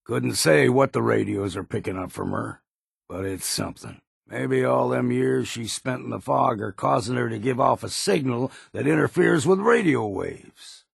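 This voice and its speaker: Gravelly, grizzled old harbor hunter. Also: male voice, medium pitch, lively intonation, normal speed, no echo, clean studio sound, exaggerated voice. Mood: wistful, determined. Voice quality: gravelly, rasping. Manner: folksy, blunt, weathered. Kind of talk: video game character acting.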